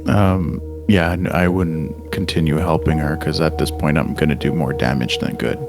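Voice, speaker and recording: A man's voice: very deep voice, steady tone, normal speed, over music. A man playing a tabletop role-playing game, talking casually about what his character does.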